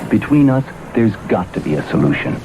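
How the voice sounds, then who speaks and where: Low, emphatic male radio announcer. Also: big pitch swings, brisk, a little mellow. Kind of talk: vintage public service ad read. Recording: noisy vintage radio broadcast.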